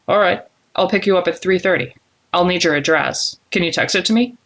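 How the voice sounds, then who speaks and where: Woman voicing a low, friendly, disarming young man. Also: fairly quick. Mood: warm, easygoing. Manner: calm, reassuring, casual. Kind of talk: audiobook character dialogue.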